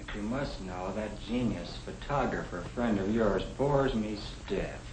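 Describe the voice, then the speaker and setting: An actor playing a practical young American husband, plain-spoken and reasoning, in the style of a 1950 educational film. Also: male voice, on the low side, unhurried, close to an everyday voice. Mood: annoyed. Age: young adult. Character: young professional husband, practical, matter-of-fact, budget-minded. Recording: echoey, noisy 1950 film soundtrack.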